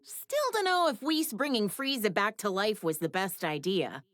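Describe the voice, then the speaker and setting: A woman's voice: medium pitch, big pitch swings, normal speed, a bit bright, dry recording, clean studio sound. A woman voicing a sardonic, clever, outspoken woman in an English anime game, wry and casual in manner. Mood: sceptical.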